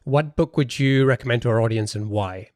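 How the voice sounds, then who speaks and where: A man's voice: mid-range voice, fairly quick, a little mellow, no echo. Man hosting a casual interview, dry close sound. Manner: hesitant, repeats words while thinking aloud. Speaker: podcast host interviewer.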